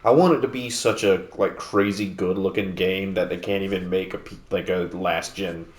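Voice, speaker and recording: Low-voiced man chatting far from the mic. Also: moderate pace, echoey room. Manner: rambling, relaxed, many fillers and restarts; talking louder than normal to make up for mic distance. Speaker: gameplay video host. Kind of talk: casual gaming commentary. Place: in a house. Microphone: sitting at a distance from the mic.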